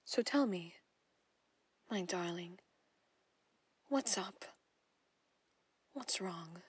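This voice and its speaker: A woman's voice: on the low side, animated, drawn-out words, no echo, heavily acted. A woman in a whispered audio roleplay, playing a jealous, possessive stepmother who coaxes and controls. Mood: coaxing, concerned. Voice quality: breathy whisper. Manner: intimate whispering, coaxing and controlling.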